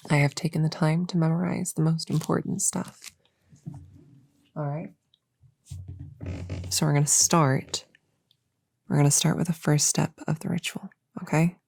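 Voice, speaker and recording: A woman playing a nervous, eager young novice witch in an ASMR roleplay, speaking softly and hesitantly, often near a whisper, close to the mic. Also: deep voice, gravelly, slight background noise, heavily acted. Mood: eager instructing. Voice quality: soft, often dropping into whisper. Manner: halting, soft-spoken, hesitant and reassuring. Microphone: close-up mic in a dry, quiet space.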